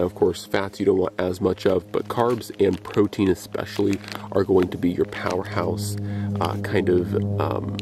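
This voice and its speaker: A man's voice: deep voice, vocal fry. A young man explaining things casually as an outdoor vlogger, speaking outdoors in the woods. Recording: faint background noise outdoors.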